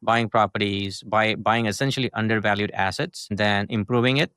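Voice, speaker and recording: A man's voice: lowish voice, moderate pace, pushed and bright, smooth, no echo, clean studio sound. A middle-aged man from India with an Indian accent, a guest talking through his story in long, loose sentences full of "right?" and "essentially". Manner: talks in long chains with many "uh", "right?" and "essentially". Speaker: guest, marketing agency founder. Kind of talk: casual interview conversation.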